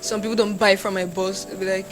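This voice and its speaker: A young Nigerian woman, a university student, talking casually in an interview and acting out shouted quotes. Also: mid-range voice, fast talker, over music. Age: young adult. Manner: animated, acts out shouted quotes.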